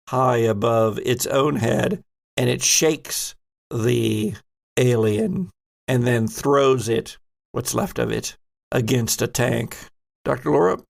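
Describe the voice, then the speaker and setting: Gravelly man narrating tabletop horror. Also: mid-range voice. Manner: descriptive, suspenseful storytelling. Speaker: game master and host. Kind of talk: dramatic improvised narration of a tabletop horror game.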